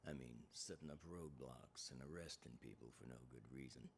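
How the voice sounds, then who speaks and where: Wary aging male criminal, extremely low and gravelly, audio drama game. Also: fairly quick, full character voice. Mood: suspicious.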